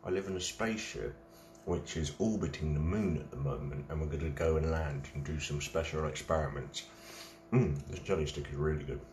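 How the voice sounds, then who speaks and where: Deep-voiced man chatting on a live stream. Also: reverberant, slight background noise. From England. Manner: chatty, reads out and answers viewer comments. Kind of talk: casual live-stream chat while eating. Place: home at night, live stream.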